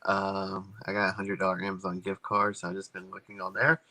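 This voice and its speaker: Male podcast co-host with a lowish, very bright, sharp voice and hesitant, casual talk. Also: level tone, fairly quick. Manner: hesitant, filler-heavy. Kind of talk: casual sports chat.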